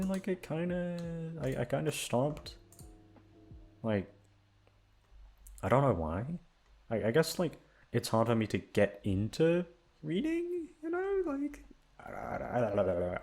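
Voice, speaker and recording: A man's voice: mid-range voice, huge pitch swings, a little slow, pauses often, a little mellow. A young man chatting casually to his listeners in an informal, rambling, sweary way. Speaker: audio channel host. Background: guitar music.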